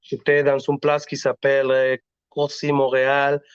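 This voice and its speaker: A man's voice: medium pitch, monotone, clean tone, no echo. Earnest man. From Latin America. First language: Spanish. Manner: earnest, halting, frequent restarts. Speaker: singer, interview guest. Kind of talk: casual interview talk. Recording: dull lo-fi audio.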